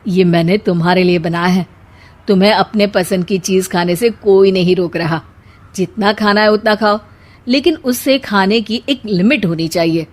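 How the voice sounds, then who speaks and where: Casting: woman as young village husband in cartoon comedy, patient and reasonable, medium pitch. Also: normal speed, lightly acted. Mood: gentle, reasoning. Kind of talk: Hindi cartoon family comedy dialogue.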